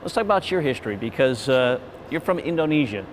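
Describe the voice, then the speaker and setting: A man hosting a college athletics show, moving from a scripted opening into interview questions. His delivery is smooth and upbeat, at a middling pitch. Faint noise runs under the recording. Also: lively intonation, quick pace. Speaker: sports show host.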